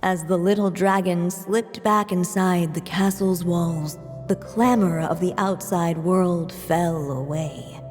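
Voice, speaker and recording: Slow, low, solemn storybook narrator. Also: female voice, fairly even intonation, a little mellow. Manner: measured, grave. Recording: long reverb, music behind.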